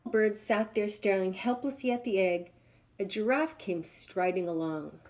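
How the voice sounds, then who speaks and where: A woman's voice: mid-range voice, moderate pace, a little mellow. A woman storyteller reading a children's tale aloud in a dramatic, emphatic way.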